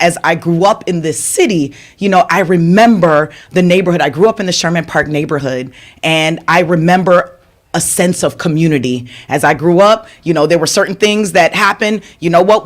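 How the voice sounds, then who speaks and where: A woman from Jamaica introducing herself as a candidate at a neighborhood forum, telling a story with rhetorical asides. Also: on the low side. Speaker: aldermanic candidate. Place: community media center room.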